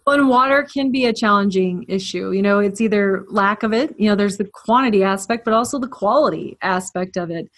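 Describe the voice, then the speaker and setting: A woman's voice: mid-range voice, a little fast, few pauses, a bit bright. A woman hosting a podcast, asking questions in an encouraging, conversational way. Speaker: podcast host. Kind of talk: interview questions.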